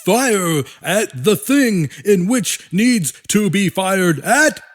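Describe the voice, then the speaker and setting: A man voicing fanatical human generals in an audiobook, shouting orders in a bombastic, drawn-out way. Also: sits high, expressive, heavily acted. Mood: commanding. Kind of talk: audiobook character acting.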